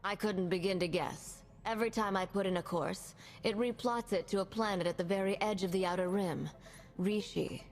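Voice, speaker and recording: An actress playing a calm, dry ship's crew member in a video game cutscene. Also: female voice, mid-range voice, moderate pace, long reverb tail, faint music behind, close to an everyday voice.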